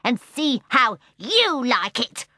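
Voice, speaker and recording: A bitter, rude little male seal, voiced by a woman reading a storybook. He barks out his words high and loud in an angry, jeering tone. The recording is close and dry. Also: sing-song, takes it slowly, dry recording, exaggerated voice.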